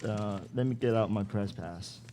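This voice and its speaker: A man's voice: lowish voice, moderate pace, close to an everyday voice. A young man acting a blunt, practical student broadcaster in an amateur radio play. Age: young adult. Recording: clear background noise.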